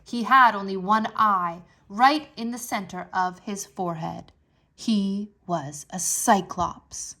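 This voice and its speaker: A woman narrating a children's history book aloud in an expressive storybook style, enunciating clearly and stressing dramatic words. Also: mid-range voice, takes it slowly.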